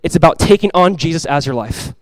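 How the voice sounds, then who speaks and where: A man's voice: a little high, expressive, a little fast, no room sound, low-quality recording. A pastor giving a conversational, earnest sermon, asking his listeners rhetorical questions. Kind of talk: expository sermon.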